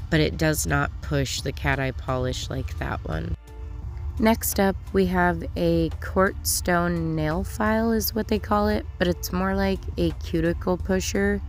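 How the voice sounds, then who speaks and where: A young woman with a low, gravelly voice and a stuffy nose from a lingering cold. She chats eagerly through a product haul, leaning on fillers like "like" and "um." Soft music plays faintly underneath. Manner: chatty, enthusiastic, filler-heavy. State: stuffy, getting over a cold. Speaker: nail channel host. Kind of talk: casual haul review to camera.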